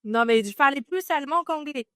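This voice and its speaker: A woman's voice: sits high, animated, fairly quick, a bit bright, no echo, clean studio sound. A woman from France in her thirties, a podcast guest telling her story in a chatty way with many fillers and restarts. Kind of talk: casual interview conversation.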